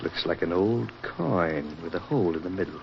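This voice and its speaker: An actor playing a grave, level-headed doctor, sober and deliberate, in 1940s radio style. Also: male voice, low-pitched, lively intonation, creaky. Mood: grave, puzzled. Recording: dull, noisy 1940s radio recording.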